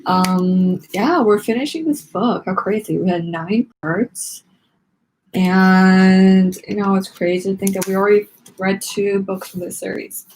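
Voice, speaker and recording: A woman's voice: mid-range voice, fairly even intonation, a little slow, darkish tone, slight background noise, poor audio. A woman hosting a read-along, narrating a children's book expressively.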